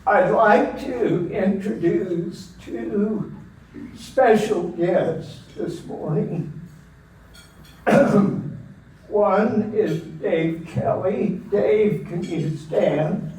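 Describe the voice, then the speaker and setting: A man interviewing a guest at a church gathering, slowly introducing people to the audience in a large meeting room. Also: high-pitched, darkish tone. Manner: slow, deliberate speaker addressing a room. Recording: echoey room from far off, faint noise.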